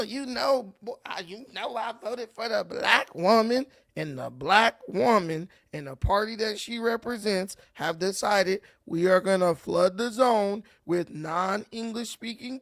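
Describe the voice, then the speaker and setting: A man's voice: high voice, fairly bright tone, no echo, studio quality. A man hosting casual reaction commentary, ranting and repeating words as he builds his point. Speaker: commentary host.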